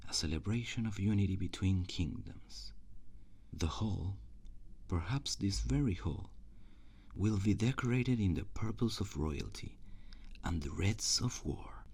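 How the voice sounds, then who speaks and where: Male actor as ambitious usurper prince, husky and breathy, very slow deliberate delivery, courtly, menacing, theatrical ASMR roleplay. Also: deep voice, darkish tone, exaggerated voice. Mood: smug, triumphant. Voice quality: husky, gravelly. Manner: formal, deliberate, dramatic, often dropping toward whispers.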